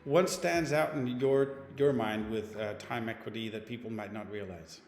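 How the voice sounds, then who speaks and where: A man's voice: lowish voice, moderate pace, roomy sound, big-room sound. A man hosting an interview, asking questions in a polite, formal way. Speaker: interview host.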